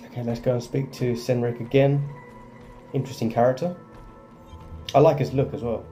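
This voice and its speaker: A man's voice: medium pitch, steady tone, moderate pace, dark tone. A man giving casual, offhand reactions while playing a video game. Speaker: gaming video player. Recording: faint game music behind.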